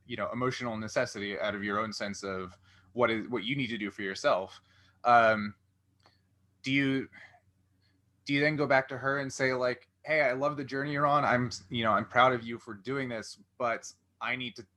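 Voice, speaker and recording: A man with a mid-pitched, very bright and crisp-edged voice hosts an interview. He talks conversationally, circling tentatively toward his point. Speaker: interview host.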